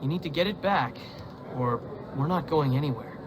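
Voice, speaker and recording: An actor playing a wry, conspiratorial prisoner and forger in a dramatic video game cutscene. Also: male voice, fairly high pitch, moderate pace, close to an everyday voice. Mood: earnest, grumbling. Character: scheming, wry male prisoner, a forger plotting escape. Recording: clear background noise and ambience.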